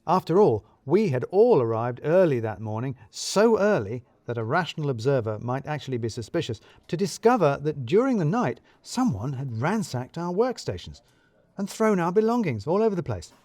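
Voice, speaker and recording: A man's voice: on the high side, very animated, moderate pace, a little mellow, dry recording. A man narrating a scripted first-person audio drama in a wry, conversational, confiding way.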